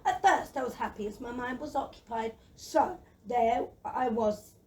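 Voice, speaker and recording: A woman in a children's audio play voicing a gentle, lazy, timid dragon who chatters in a plaintive, excitable, wordy way. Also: fairly high pitch, moderate pace, lightly acted. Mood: excited. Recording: noisy, slightly distant microphone.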